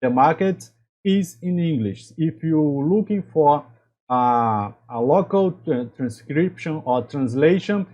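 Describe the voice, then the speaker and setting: Brazilian-accented man, halting and mellow. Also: on the high side, expressive, highs cut off. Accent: Brazilian Portuguese. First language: Portuguese. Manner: halting non-native phrasing with frequent restarts. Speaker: chemical process engineer and channel host. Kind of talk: talking-to-camera advice video.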